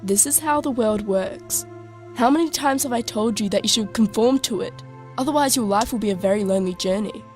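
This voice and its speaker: A woman's voice: on the high side, fairly quick, a little mellow, faint music behind, close to an everyday voice. A young woman playing a worldly, teasing, sarcastic teenage girl in an audio drama. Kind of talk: scripted audio drama dialogue.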